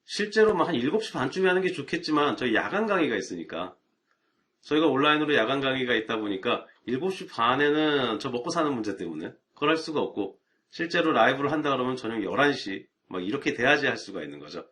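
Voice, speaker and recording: A man's voice: mid-range voice, lively intonation, fast talker, a bit bright. A middle-aged man, an IT networking instructor, talking casually and chattily to viewers on a live stream, with rhetorical asides.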